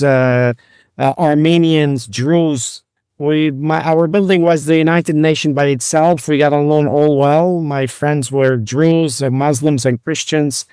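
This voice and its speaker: Soft-spoken man, smooth, tightly dry sound. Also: on the high side, no room sound. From Lebanon. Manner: halting, many "uh" fillers and restarts. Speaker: guest, rabbi and physician. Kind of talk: conversational interview storytelling.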